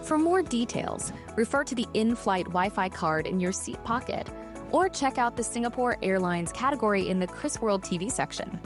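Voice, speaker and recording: Woman reading scripted narration over loud music. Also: fairly high pitch, lively intonation, moderate pace. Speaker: airline video announcer.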